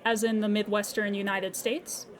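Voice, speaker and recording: A woman playing a patient, courteous insurance customer service agent in a scripted audio comedy, speaking formally and with measured politeness. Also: medium pitch, flat delivery, a faint crowd, natural voice. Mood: patient, apologetic. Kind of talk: scripted comedy audio drama phone call.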